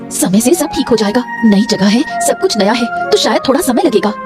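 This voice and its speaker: A woman's voice: fairly high pitch, animated, moderate pace, music in the background, natural voice. A woman voicing a dramatic, emphatic female character in a moral-story cartoon. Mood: calm. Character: animated storybook women: princess, wife, sweet seller.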